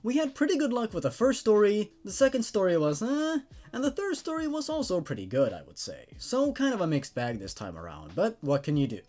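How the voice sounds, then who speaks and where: Animated male host with a halting delivery. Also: sits high. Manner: halting, stammering, repeats words. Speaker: host of a horror story review show. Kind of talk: casual spoken review to viewers.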